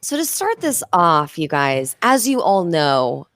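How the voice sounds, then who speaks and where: Low-pitched woman in casual, effusive banter. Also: sing-song, takes it slowly, fairly bright tone, no room sound, clean studio sound. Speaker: comedy show co-host.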